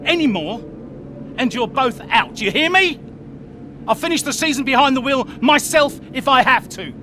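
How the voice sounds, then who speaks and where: A man's voice: very high-pitched, animated, moderate pace, sharp tone, slight background noise, full character voice. An actor playing a furious team principal who berates his drivers in a video game cutscene. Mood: threatening. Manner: scolding, harsh, commanding. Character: furious male team principal, stern and domineering. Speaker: racing team boss.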